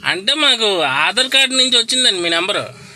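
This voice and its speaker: A man with a very sharp, bright voice works through a mock sales pitch on a call. He sits high in pitch, halts often and stays polite but insistent, over faint noise and clicks. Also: very animated, a little fast. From Godavari districts, Andhra Pradesh, India. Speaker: prank caller posing as hospital telemarketer.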